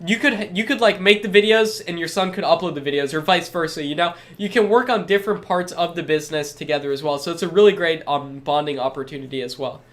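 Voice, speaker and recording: Upbeat, higher-voiced man with a head cold. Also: quick pace, hardly any pauses, fairly bright tone. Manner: upbeat and reassuring, reads chat aloud then replies. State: stuffed up from a cold. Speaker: webinar host answering chat questions. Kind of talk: live webinar Q&A.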